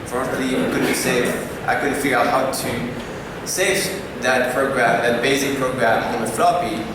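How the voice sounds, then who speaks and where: A man's voice: medium pitch, flat delivery. Man heard from far in a reverberant hall. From Belgium. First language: French. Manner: halting, many "uh"s and restarts. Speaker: conference speaker. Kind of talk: personal talk. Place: large conference hall. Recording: distant voice in a very echoey hall with noise.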